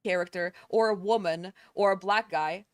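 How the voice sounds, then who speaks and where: A woman's voice: on the low side, normal speed, clear, resonant tone. A woman giving her opinion in a casual, blunt, sometimes profane way, from a played clip. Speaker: content creator in a reacted clip.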